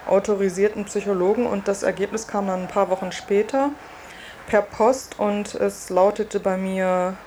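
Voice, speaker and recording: A woman's voice: medium pitch, fairly even intonation, normal speed, warm tone. A woman reporter giving an informal first-person account of her own experiences. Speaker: reporter and test participant. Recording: faint background ambience and noise.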